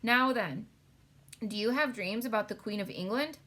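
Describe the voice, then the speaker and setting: A woman voicing a clever, excited little storybook girl who gives emphatic instructions. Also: a little high, moderate pace. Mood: eager questioning.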